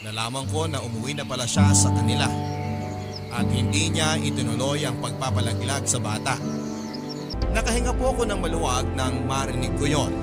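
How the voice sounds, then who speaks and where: A man narrating a scripted first-person letter for a radio drama, in an earnest and polite storytelling manner. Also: mid-range voice.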